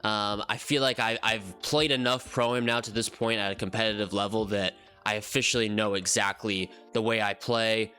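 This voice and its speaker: Male gaming host with a head cold. Low, bright, slightly nasal voice. Loose, rambling commentary, soft music underneath. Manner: relaxed, rambling, frequent ums and restarts. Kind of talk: casual game commentary walkthrough.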